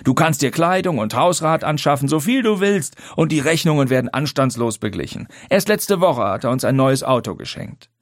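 A man doing a calm, mild-mannered husband. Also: fairly high pitch, normal speed, few pauses, dry recording, clean studio sound, pitched above the performer's own voice. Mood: earnest. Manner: calm, precise, clearly accented. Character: mild, placid middle-aged husband.

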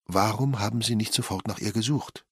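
A man's voice: on the low side, fairly quick, fairly bright tone, slightly rough, no room sound, clean studio sound, voice pushed up. A man voicing a firm, probing woman investigator who questions witnesses urgently in an audiobook.

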